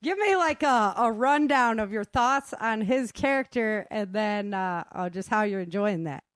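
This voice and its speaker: A woman's voice: mid-range voice, expressive, a little slow, dry recording, clean studio sound. A woman hosting a casual interview, talking in an informal, encouraging way. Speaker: host interviewing her audio drama cast.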